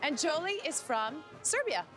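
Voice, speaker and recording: A woman's voice: high-pitched, sing-song, moderate pace, over music. A woman narrating a travel show voice-over in an upbeat, scripted way.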